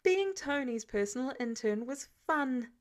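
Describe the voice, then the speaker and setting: A woman reading a story aloud as expressive scripted narration, in the manner of an audiobook. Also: sits high, unhurried. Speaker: fan fiction audiobook reader.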